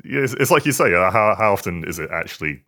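A man's voice: low voice, expressive, fast talker, sharp tone. A British man chatting casually on a podcast, hesitant and stammering, with a self-deprecating manner. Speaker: podcast co-host, hardware journalist. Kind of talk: casual chat.

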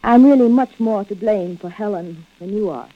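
An actress playing a composed, suspicious wronged wife in a vintage radio thriller, with a deliberate, theatrical delivery. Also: female voice, medium pitch, natural voice. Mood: composed, forgiving. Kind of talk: 1940s-50s radio drama acting. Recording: dull vintage radio recording.

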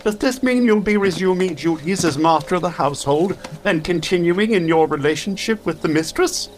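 An actor playing a prim, devoted robot butler in an audio drama, speaking formally and melodramatically. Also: male voice, sits high, normal speed, close to an everyday voice. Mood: delighted, fussy.

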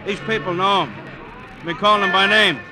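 A man's voice: high-pitched, normal speed, close to an everyday voice. An actor playing a plain-talking, matter-of-fact city policeman in an old radio drama, reporting in streetwise, colloquial phrases. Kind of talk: 1940s-50s radio drama acting.

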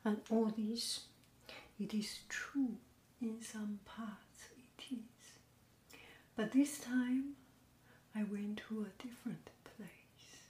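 A woman hosting a podcast, talking softly and gently in a half-whisper, in a relaxed, unhurried chat. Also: on the high side. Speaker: podcast host. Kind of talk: soft, slow casual chat. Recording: roomy echo with faint noise.

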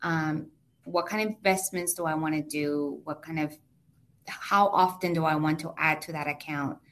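A woman's voice: low voice, steady tone, moderate pace, fairly bright tone. A woman with a heavy Mexican Spanish accent, a native Spanish speaker, patiently explaining finances step by step as an interview guest.

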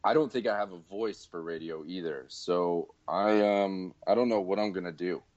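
A man's voice: low-pitched, normal speed, a little mellow. A man co-hosting a casual sports podcast, talking in a rueful, self-deprecating way. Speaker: podcast co-host. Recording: dull lo-fi sound.

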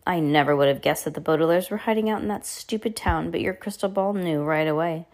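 A woman's voice: fairly low pitch, fairly quick, natural voice. A woman voicing a scheming, greedy male villain and gang boss from a storybook, emphatic and self-satisfied. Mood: smug.

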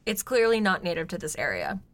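A woman's voice: fairly low pitch, sing-song, a little fast, a bit bright, voice pushed down. A woman playing a terse, suspicious woman investigator who sounds tired, in a comic audio drama.

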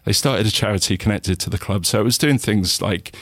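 A man with a southern English accent, a guest in an interview, talking reflectively with many pauses, restarts and "you know"s. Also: on the low side, a bit bright, no echo. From England.

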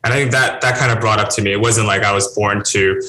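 A man's voice: on the low side, fairly even intonation, fast talker, bright, forceful tone, a little hiss or hum. A young man from China whose first language is Chinese, answering interview questions in earnest, rambling answers with restarts. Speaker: guest entrepreneur. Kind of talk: casual podcast interview. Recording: roomy echo.

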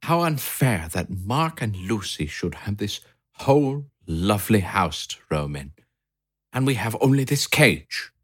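A man doing a hushed, restless little hamster. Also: lowish voice, big pitch swings, a little slow, slightly rough, pitched above the performer's own voice, heavily acted. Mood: wistful. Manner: hushed, wistful and drawn out. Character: restless adventure-hungry male pet hamster.

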